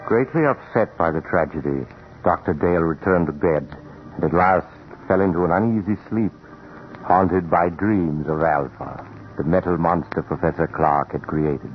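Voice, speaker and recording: Very deep, ominous radio narrator. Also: male voice, moderate pace, faint music behind. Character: ominous male storyteller of suspense tales. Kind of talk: scripted 1940s radio suspense narration. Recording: muffled, noisy 1940s radio recording.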